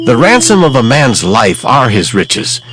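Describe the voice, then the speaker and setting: A man giving a dramatized scripture reading in a measured, declamatory way. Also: medium pitch, very animated. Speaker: scripture reader. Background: background music.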